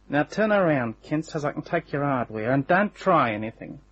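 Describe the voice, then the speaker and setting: A husky smug hired thug from a radio crime play. He takes his time over every slangy threat, menacing and taunting. The recording is dull and narrow, like old radio. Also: a little high, sing-song, a little slow, a bit bright, heavily acted. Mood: cold menace.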